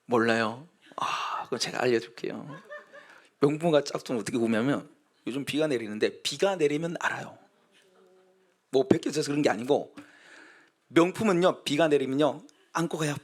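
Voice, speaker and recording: A man's voice: medium pitch, normal speed. A man who is a pastor, preaching a sermon in a storytelling way, acting out quoted voices and building to emphatic exhortation.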